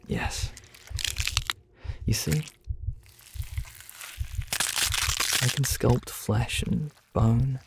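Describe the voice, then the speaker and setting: A sadistic monster from under the bed, voiced by a British man, lingering over every word at an unhurried crawl. The voice is gravelly and mid-pitched, gloating and menacing, and it keeps dropping into hushed whispers for ASMR horror. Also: noisy background. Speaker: voice actor.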